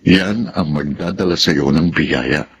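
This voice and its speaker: A man playing an earnest, kindly middle-aged father in a Filipino radio drama, with a Filipino accent and a measured, advising manner. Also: extremely low pitch, noisy background. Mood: caring.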